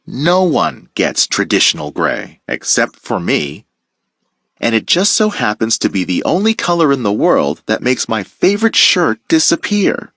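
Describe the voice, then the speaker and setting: Expressive man reading a storybook aloud. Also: mid-range voice, unhurried, no echo, studio quality. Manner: expressive storytelling, playful emphasis. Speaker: teacher. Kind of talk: reading a picture book aloud to children.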